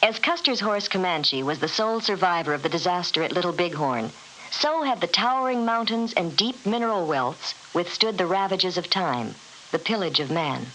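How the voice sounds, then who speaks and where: A woman reading scripted travelogue narration in a polished, descriptive, measured manner. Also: lowish voice, expressive, a bit bright, rough recording. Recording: hissy old radio recording.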